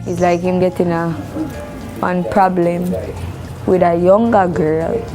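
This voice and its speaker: A woman's voice: fairly low pitch, flat delivery, slow pace. A woman with a Jamaican accent speaking casually in an unscripted interview. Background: background music.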